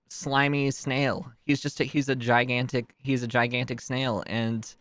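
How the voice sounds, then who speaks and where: A man's voice: fairly bright tone, clear, resonant tone, dry recording. A man streaming alone, rambling casually and interrupting himself, his nose stuffed up from crying. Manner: rambling, self-interrupting, chuckling, jumps in pitch.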